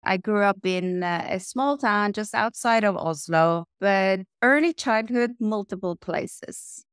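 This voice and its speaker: A middle-aged woman from Iran, raised in Norway, talks through interview questions with a smooth, resonant voice. She sounds lively and thoughtful and lists examples one after another. The recording is dry and close. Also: medium pitch, slow pace, no echo. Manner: lively and reflective, lists things in runs. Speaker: interview guest, tech executive. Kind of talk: casual interview answers.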